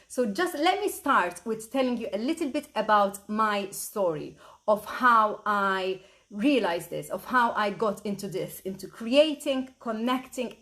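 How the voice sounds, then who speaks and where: A middle-aged woman from Malta, an online business mentor, talking eagerly on a live stream and greeting viewers by name. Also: mid-range voice. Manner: upbeat, eager, repeats "super" a lot, greets viewers by name. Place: at home at a computer.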